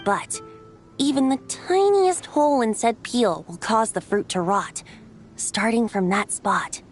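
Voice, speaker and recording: A boyish bard god from a video game, with a light, high voice. He speaks like a poetic storyteller, playful yet wise, with a theatrical lilt. Music plays behind the voice. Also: lively intonation, measured pace. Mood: solemn, poetic. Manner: lyrical, theatrical, gently teasing storyteller. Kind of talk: video game character dialogue.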